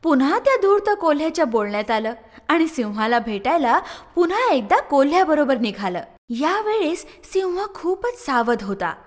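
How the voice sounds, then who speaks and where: A woman narrating a scripted story for children in an expressive storytelling style. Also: sits high, normal speed, long reverb tail.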